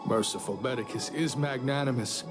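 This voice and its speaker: A man's voice: mid-range voice, normal speed, close to an everyday voice. A man playing a furtive, devout cult recruiter in a video game, speaking in a formal, reverent and persuasive way. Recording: game music behind the voice.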